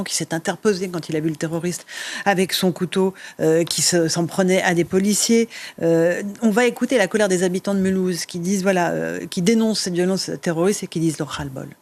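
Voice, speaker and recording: A man hosting a TV and radio talk show, introducing topics and questioning his guest briskly, with frequent fillers. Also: sits high, darkish tone. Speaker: host.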